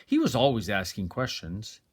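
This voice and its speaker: Guy reading a kids picture book out loud, expressive, voice goes up and down loads. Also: lowish voice, normal speed, husky. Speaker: storybook reader. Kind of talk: expressive children's story narration.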